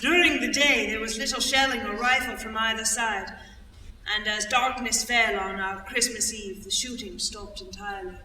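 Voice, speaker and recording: A woman playing a plainspoken British soldier writing to a friend, emphatic and expressive in reader's theater style. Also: a little high, moderate pace. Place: large indoor theater stage. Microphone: far camera. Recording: echoey theater stage, distant, faint noise.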